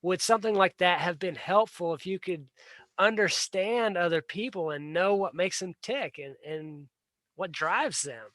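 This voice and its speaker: Man, livestream host, high-ish voice, dry close sound, earnest and plain-spoken, stumbles and repeats words. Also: a little slow, fairly bright tone, no room sound. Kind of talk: casual solo livestream talk.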